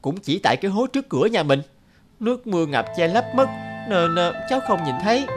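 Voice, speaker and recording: A young farmer grandson in a cartoon folk tale, stubborn and given to grumbling. His male voice is pitched somewhat high. Music runs clearly underneath. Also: very animated, fairly quick. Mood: sheepish. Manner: exasperated, dismissive. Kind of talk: animated folk tale dubbing.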